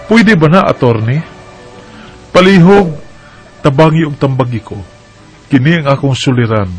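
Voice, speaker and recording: A man's voice: fairly high pitch, lively intonation, moderate pace, soft background music, close to an everyday voice. A man with a Cebuano Filipino accent playing an earnest adult son in a radio drama. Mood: earnest, concerned. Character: earnest adult son working abroad, worried for father. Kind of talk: radio drama, reading a letter aloud.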